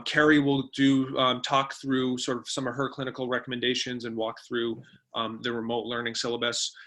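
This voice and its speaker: Guy hosting an online webinar, bright clear-cut voice, informal explainer style. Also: mid-range voice. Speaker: webinar presenter, company co-founder. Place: remote webinar.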